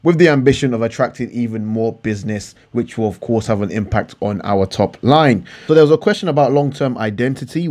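Male finance vlogger, mid-pitched, explains in an informal, emphatic way, restating points for stress. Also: darkish tone. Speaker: finance YouTuber. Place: indoor room at a computer.

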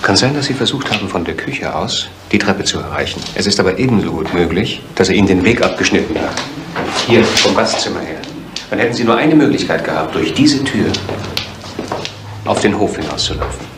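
Deep-voiced, sober detective assistant. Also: male voice, lively intonation, brisk. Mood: sober, deliberate. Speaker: actor in a crime radio play. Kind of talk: 1970s German radio drama acting. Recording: noisy 1970s radio play recording.

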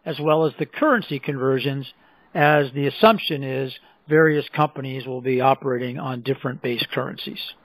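A man presenting a software demonstration in scripted, explanatory narration with measured phrasing. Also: on the high side, no echo, poor audio. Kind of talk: scripted product demo narration.

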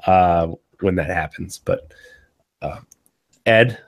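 A young man with a cold-hoarse voice hosting a casual gaming podcast, rambling and restarting his thoughts, recorded on a studio mic at home. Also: low voice, slow pace. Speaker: podcast host. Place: small home studio with green backdrop. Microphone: studio condenser mic on boom arm.

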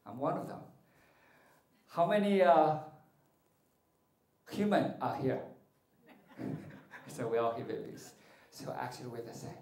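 A man's voice: medium pitch, lively intonation, a little slow, long pauses, a little mellow. A middle-aged man teaching meditation to an audience, joking and asking them questions, speaking into a handheld mic in a large hall. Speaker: martial arts and meditation teacher. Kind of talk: playful spiritual talk to an audience. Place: large hall with curtained stage. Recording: echoey hall.

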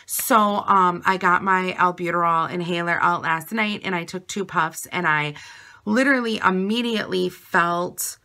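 A woman in her forties talks casually to a phone camera in a dim bedroom, rambling with plenty of ums. Her voice is raspy and airy from asthma, low in pitch yet bright and edgy in tone. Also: a little slow. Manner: chatty, rambling with frequent ums. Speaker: vlogger. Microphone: webcam or phone at arm's length.

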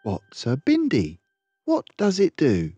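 A man's voice: a little high, huge pitch swings, slow, warm tone, breathy edge, highs cut off, voice pushed up, exaggerated voice. A man voicing a curious, eager little boy in a children's storybook, with a questioning, lilting manner. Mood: puzzled.

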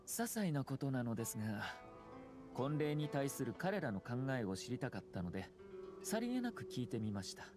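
A man voicing a courteous, calculating young clan head in a Japanese anime game, smooth, formal and measured. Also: medium pitch, sing-song, natural voice. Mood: calm, reflective. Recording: game music underneath.

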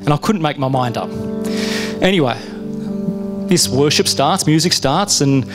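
A middle-aged Australian man tells his conversion story on a dark church stage, chatting through a handheld mic and often restarting his sentences. His voice sits a little high for a man. Music plays clearly underneath him. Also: expressive, unhurried. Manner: conversational storytelling with self-interruptions. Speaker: guest speaker. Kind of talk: personal testimony.

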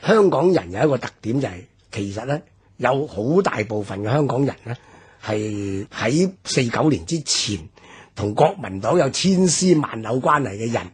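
A man's voice: medium pitch, lively intonation, moderate pace, breathy edge. A man in his sixties with a Hong Kong Cantonese accent, recounting memories and history in a reflective radio talk. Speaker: radio commentator.

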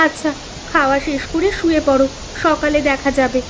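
A woman's voice: sits high, fairly even intonation, fairly quick, a bit bright, slight background noise, low-quality recording. A woman playing a practical, concerned village wife in a cartoon dub. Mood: practical, worried.

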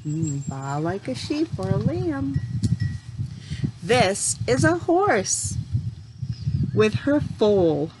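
A woman in her forties reading aloud to young children as their teacher, phrasing things simply and deliberately and asking them questions. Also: mid-range voice. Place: home room in front of a large window. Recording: steady noticeable background noise.

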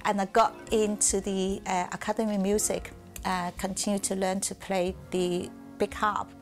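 A woman's voice: medium pitch, little pitch movement, moderate pace, faint music behind. A middle-aged woman from southern China with a Chinese accent, telling her life story candidly in an interview. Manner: candid, reflective, laughs softly now and then. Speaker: musician.

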